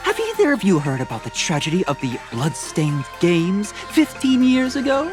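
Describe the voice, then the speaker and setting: An actress playing a sweet-talking, pious female cult recruiter who preaches with gushing, theatrical warmth in a game. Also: on the low side, huge pitch swings, moderate pace. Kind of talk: game dialogue voice acting. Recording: studio voice with game music behind.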